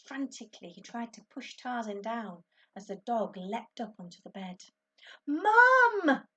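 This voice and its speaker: A woman's voice: mid-range voice, moderate pace, darkish tone, slightly rough. A woman teacher reading a children's story aloud expressively to her class.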